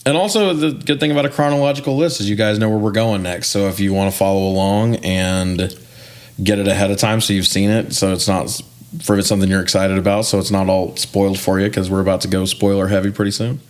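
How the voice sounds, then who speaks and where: A man's voice: lowish voice, steady tone, fast talker. A man in his forties co-hosting a casual movie podcast, chatting in a slangy, joking, mildly profane way. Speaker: podcast co-host.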